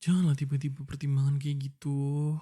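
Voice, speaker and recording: A man's voice: medium pitch, level tone, fairly quick, mellow. A young man with an Indonesian accent, voicing a frustrated, pleading boyfriend in an ASMR roleplay.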